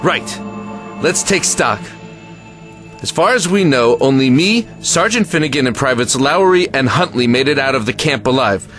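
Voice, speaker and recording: An actor playing a stern, authoritative army lieutenant in a war audio drama, clipped and commanding. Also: male voice, medium pitch, lively intonation, normal speed, fairly bright tone, faint music behind, natural voice. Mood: brisk, stern.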